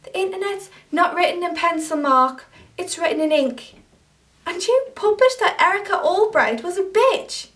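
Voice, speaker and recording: A woman's voice: very high-pitched, expressive, normal speed, a bit bright. A young woman as a cutting, indignant young woman. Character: angry young woman confronting an ex. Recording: distant camera mic.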